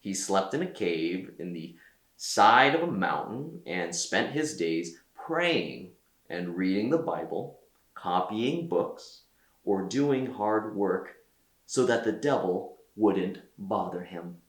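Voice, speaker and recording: A man reading a children's story aloud as a storyteller, with theatrical delivery and deliberate pauses. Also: deep voice, lively intonation, roomy sound. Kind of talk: expressive read-aloud narration for children.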